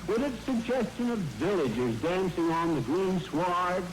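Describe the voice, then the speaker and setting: Pompous bandleader, crackly old radio. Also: male voice, high voice, lively intonation, unhurried. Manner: pompous, flowery announcing. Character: grandiloquent amateur bandleader, pompous but folksy man. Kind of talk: 1940s radio comedy acting. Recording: noisy vintage radio broadcast, rain over it.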